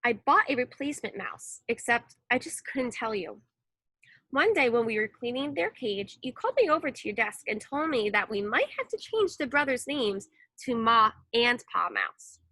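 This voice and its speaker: A woman reading a children's story aloud in an expressive narrating style. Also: fairly high pitch, normal speed, no echo, rough recording. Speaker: storybook reader.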